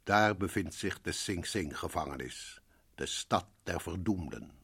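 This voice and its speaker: Casting: ominous radio horror narrator, lowish husky voice, deliberate and theatrical. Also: male voice, lively intonation, exaggerated voice. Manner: ominous, deliberate, sometimes sinking to hushed tones. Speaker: host and narrator. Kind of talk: dramatic scripted radio narration.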